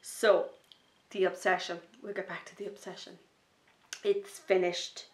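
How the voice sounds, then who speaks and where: A woman hosting a knitting podcast, chatting in a rambling, enthusiastic way, a little sniffly from a starting cold, sometimes dropping to a soft near-whisper. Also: on the low side, level tone, a little slow, echoey room. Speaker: knitting podcast host and designer. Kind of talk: casual chat to camera.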